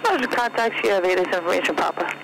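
A woman's voice: on the low side, quick pace, vocal fry, a bit bright. A woman announcing airport information over aircraft radio in a formulaic read-out. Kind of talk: formulaic aviation broadcast read-out. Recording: noisy aviation radio in cockpit.

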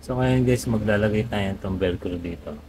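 A man with a fairly low voice talks through a hands-on tutorial in a casual way. He often halts and searches for the next word. There is clear background noise in the recording. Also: mellow. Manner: halting, searching for words. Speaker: vlogger giving a tutorial. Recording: clearly noisy background ambience.

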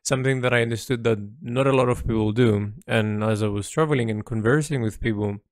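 A man speaking a reflective monologue as an artist's audio log, halting and introspective as he searches for words. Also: medium pitch, steady tone, no echo, studio quality.

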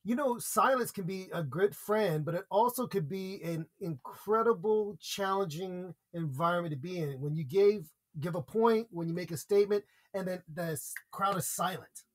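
A man's voice: sits high, normal speed, few pauses. An American man from a podcast interview, earnestly explaining public speaking with examples and repeated points. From United States.

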